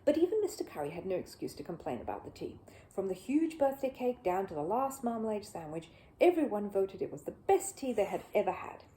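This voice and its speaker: A woman narrates a children's storybook with a soft, mellow tone. Her pitch moves around a great deal, giving the reading a lively, expressive shape. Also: fairly quick, slight background noise, poor audio. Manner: animated.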